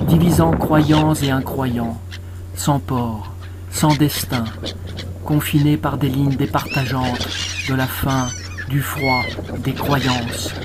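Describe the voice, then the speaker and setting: A man reads verse in a solemn, declamatory voice-over style. His pitch sits in the middle and his delivery is very slow, broken into deliberate short phrases. Noticeable ambient noise runs behind the voice. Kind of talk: solemn poetry recitation, voice-over for a short film.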